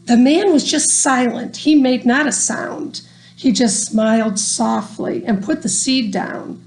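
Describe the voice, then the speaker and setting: A woman reading a rhyming children's story aloud in an expressive, deliberate storytelling manner. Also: fairly high pitch, slight background noise. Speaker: storybook reader.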